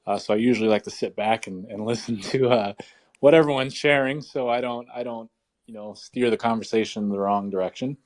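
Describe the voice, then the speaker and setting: A man sharing his year in a casual online chat, talking in a relaxed, easygoing way with many fillers. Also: fairly low pitch, brisk. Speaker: guest speaker.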